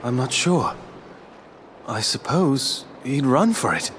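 An actor playing an earnest, defiant young adventure hero in a video game, urgent and declamatory. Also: male voice, medium pitch, big pitch swings, slow pace, background noise. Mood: unsure. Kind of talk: video game cutscene acting.

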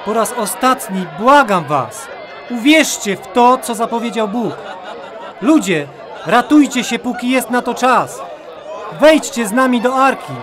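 A man's voice: extremely high pitch, animated, a little slow, crowd noise. A man playing a devout, steadfast old patriarch in a children's audio drama, speaking earnestly. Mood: urgent pleading.

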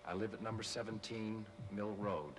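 A man's voice: fairly low pitch, moderate pace, close to an everyday voice. An American man acting a bitter, sardonic, weary suburban husband of forty in a 1970s television drama. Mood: flat, droning. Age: forties. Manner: sarcastic, weary. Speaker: actor in a television film. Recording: noisy old TV film soundtrack.

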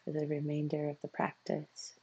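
A meditation coach guiding a practice in a soothing way, with unhurried phrases, long pauses and a husky, gravelly voice. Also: mid-range voice, monotone, darkish tone, muffled recording. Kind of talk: guided meditation.

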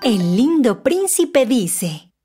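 A woman tells a children's story in a high voice. Her delivery is expressive and very animated, with deliberate phrasing. Music plays clearly underneath. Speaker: narrator. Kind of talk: scripted children's story narration.

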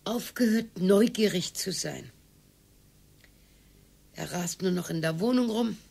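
An actress playing an exasperated older wife with a tart, complaining manner and a gravelly, husky voice. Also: female voice, lowish voice, slow pace, darkish tone, full character voice. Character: exasperated older wife Hilde, sharp-tongued. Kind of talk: radio play acting.

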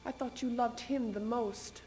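A young woman playing a weary, proud, sharp-tongued queen in a dramatic stage reading. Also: a little high, fairly even intonation, unhurried, faint music behind, close to an everyday voice. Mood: wounded, biting. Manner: dramatic, biting. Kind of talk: theatrical duo interpretation, stage reading. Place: indoor stage in front of curtain.

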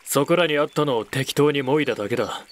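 A man playing an aloof, gruff male cat beastman in a voice drama, curt, haughty and dismissive. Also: medium pitch, quick pace, a little hiss or hum, lightly acted. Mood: evasive, irritated. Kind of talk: voice drama acting.

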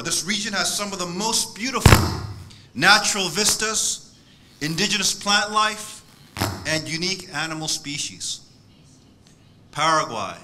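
A man, delivering a measured, emphatic keynote speech at a podium microphone in a conference hall. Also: on the high side, sharp tone, a little hiss or hum. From born in South Korea, raised in the United States. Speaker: keynote speaker. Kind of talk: formal prepared speech.

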